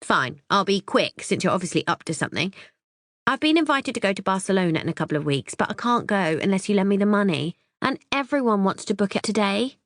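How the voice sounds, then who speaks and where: A woman's voice: medium pitch, expressive, a little fast, no room sound, studio quality, natural voice. Claire, a woman voicing a brash sarcastic sister. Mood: impatient. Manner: blunt, sarcastic, crude. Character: brash chatty young British woman, the narrator's sister.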